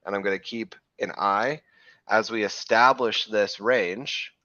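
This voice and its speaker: A man hosting a live crypto trading show, talking through chart analysis in a rambling way, correcting himself, with a slightly hoarse voice from losing it. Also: on the low side, fairly even intonation, measured pace, pushed and bright, dry recording, dull audio. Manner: rambling, self-correcting, frequent "right?" checks.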